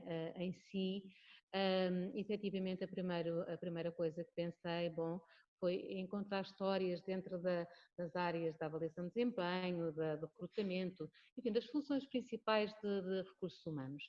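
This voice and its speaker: Hesitant lower-voiced woman, muffled audio. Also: moderate pace. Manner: hesitant, many "eh" and "enfim" fillers. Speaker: guest speaker, HR professional. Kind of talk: speech at a web conference. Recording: muffled web-call audio.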